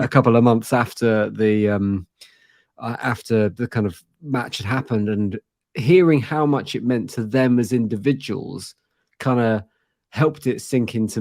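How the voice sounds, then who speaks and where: A man from the south of England with a southern English accent softened by years in Manchester, a guest talking football in an articulate, self-deprecating way. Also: on the low side, creaky, no room sound, clean studio sound. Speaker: guest, rival fan. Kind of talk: casual football chat.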